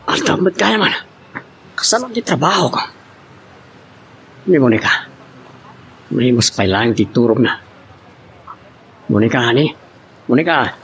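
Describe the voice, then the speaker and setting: A man acting an evasive, impatient husband in an audio love drama, with a gravelly Ilocano voice that swings up and down. The recording is noisy, with some ambience behind him. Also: mid-range voice, animated, unhurried, pauses often, a bit bright. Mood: impatient, worried. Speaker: actor in an amateur radio-style drama.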